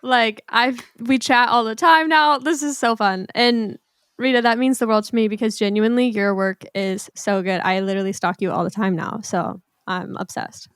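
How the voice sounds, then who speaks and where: A woman's voice: fairly high pitch, fairly even intonation, normal speed, clean tone, no echo, clean studio sound. A woman in her twenties hosting a podcast, chatting casually and rambling enthusiastically about her guest. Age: 20s. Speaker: podcast host, wedding photographer. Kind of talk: casual interview chat.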